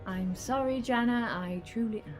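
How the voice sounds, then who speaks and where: A woman acting in an audio drama as a haughty, guilt-laden renegade villain, measured and dry. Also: on the high side, faint music behind, close to an everyday voice. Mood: remorseful, defiant.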